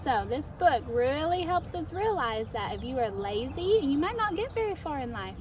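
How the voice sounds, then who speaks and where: A woman reading a children's story aloud in a deliberate, expressive, teacherly way, like a storytime host. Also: high-pitched. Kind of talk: storytime read-aloud narration. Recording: clear background ambient noise.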